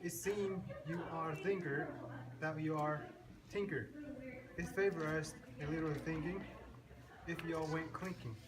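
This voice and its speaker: A man's voice: a little high, fairly even intonation, unhurried, natural voice. A man playing a greedy, shrewd cottage sister who speaks in rhyme in an amateur puppet show. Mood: scheming. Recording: noisy live room recording.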